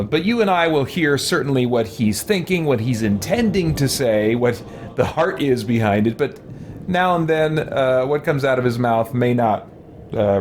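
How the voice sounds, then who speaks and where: Animated man doing playful game commentary. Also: mid-range voice, slight background noise. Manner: playful, theatrical asides. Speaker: let's play host. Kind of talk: casual playthrough commentary with in-character roleplay. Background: video game music.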